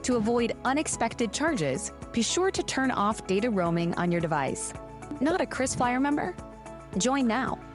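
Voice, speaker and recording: A woman delivering scripted promotional narration as an announcer for an airline video. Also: fairly high pitch. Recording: loud music under the voice. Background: music.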